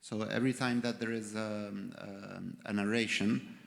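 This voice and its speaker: Low raspy-edged guy on a panel, lots of ums, echoey hall. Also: flat delivery, a little slow. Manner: hesitant, with many ums. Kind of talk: answering a panel question.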